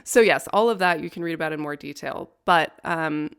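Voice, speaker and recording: Low-voiced woman telling history, wry and profane. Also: fairly quick, gravelly, fairly bright tone, clean tone. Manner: wry, profane, nerdy about law. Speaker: podcast co-host. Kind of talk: casual storytelling chat.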